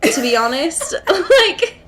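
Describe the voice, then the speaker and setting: A woman's voice: very high voice, big pitch swings, slow pace, a bit bright. A young woman co-hosting a podcast, joking and giggling through a casual, irreverent book discussion. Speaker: podcast co-host.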